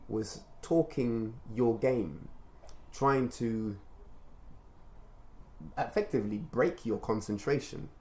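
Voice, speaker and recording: A man talking informally as a mentor, explaining things in a measured, reflective and encouraging way. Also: fairly low pitch, darkish tone. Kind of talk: informal testimonial conversation with a child.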